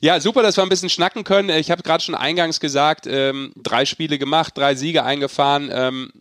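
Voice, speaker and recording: A man's voice: fairly high pitch, steady tone, brisk, fairly bright tone, no room sound, clean studio sound. A man hosting a sports podcast in an informal, bantering, chatty way. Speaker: podcast host. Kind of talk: casual sports podcast chat.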